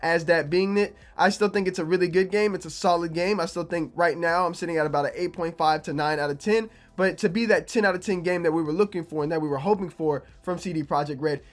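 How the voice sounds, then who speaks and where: A young man, a gaming YouTuber, talking casually to his viewers with a slightly raspy, low-energy voice from being sick. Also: steady tone, quick pace, few pauses. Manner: conversational, repeats himself, low energy. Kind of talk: casual opinion vlog to camera.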